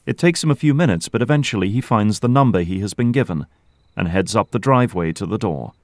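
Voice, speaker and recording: A man's voice: lowish voice, lively intonation, fast, a little hiss or hum. A man reading scripted narration as the narrator of an audio drama.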